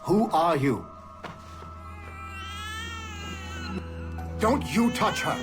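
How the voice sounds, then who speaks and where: A guarded, defiant mercenary father in a dramatic game cutscene. His delivery is terse and intense, with a lively range of pitch. Music sits behind the voice. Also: a little slow. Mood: alarmed, furious.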